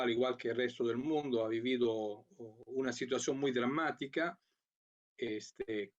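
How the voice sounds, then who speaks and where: A man from Italy with an Italian accent, giving a conference talk as a program coordinator over a video call, speaking in a measured way with frequent fillers and restarts. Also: mid-range voice, highs cut off. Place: at home or office, remote.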